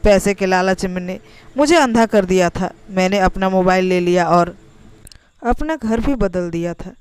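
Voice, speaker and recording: A woman's voice: mid-range voice, a little slow, darkish tone, smooth. A woman reading a scripted, first-person emotional story as its narrator.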